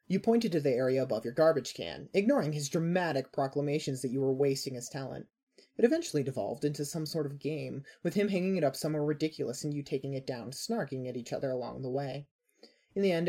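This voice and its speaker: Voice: medium pitch, animated, quick pace, warm tone. A podcast narrator reading a scripted second-person story aloud. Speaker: narrator of a fan fiction podcast.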